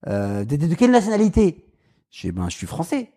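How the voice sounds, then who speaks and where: Mellow-voiced man with a Paris suburban accent. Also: fairly high pitch, brisk. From Paris suburbs, France. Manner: animated, mimics voices in anecdotes, laughs often. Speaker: guest. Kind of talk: casual storytelling.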